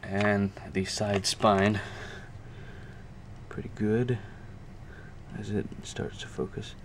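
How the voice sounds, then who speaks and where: Low, flat-voiced man in casual show-and-tell. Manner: halting, thinking aloud as he goes. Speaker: video creator showing a music collection. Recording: clear background noise, amateur camera audio.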